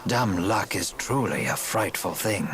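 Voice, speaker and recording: A man voicing a learned, genteel older advisor in a video game, formal, polite and measured. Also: fairly low pitch, animated, a bit bright. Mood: politely curious. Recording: game audio with clear noise.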